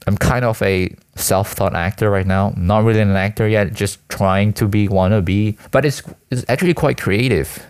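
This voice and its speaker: Young man, student from Malaysia, low-pitched. Casual solo vlog ramble, halting and self-mocking, full of slang. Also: a little mellow, smooth. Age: teens to young adult, school student. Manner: hesitant, self-deprecating, slangy. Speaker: student vlogger and aspiring actor.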